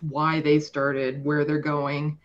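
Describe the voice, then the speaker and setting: A woman's voice: deep voice, completely flat delivery, takes it slowly, lo-fi sound. A woman speaking as a guest in a casual political podcast discussion, explaining her views conversationally.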